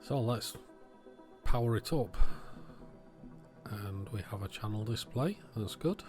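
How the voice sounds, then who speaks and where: A man's voice: lowish voice, animated, slow, over music. A man giving informal, matter-of-fact commentary on an electronics repair, thinking aloud as he works. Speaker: electronics repair video host.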